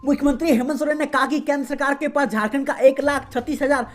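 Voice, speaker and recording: High-voiced woman reading regional news. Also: fast talker. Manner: steady formal newsreader delivery. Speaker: news reader. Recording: faint music behind voice.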